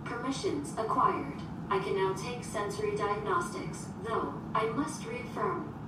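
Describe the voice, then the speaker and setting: A woman's voice: mid-range voice, unhurried, close to an everyday voice. A woman playing a polite, literal shipboard artificial intelligence in a science fiction audio drama, speaking formally and without emotion. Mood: calm, procedural. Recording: roomy echo, distant, heavy noisy ambience.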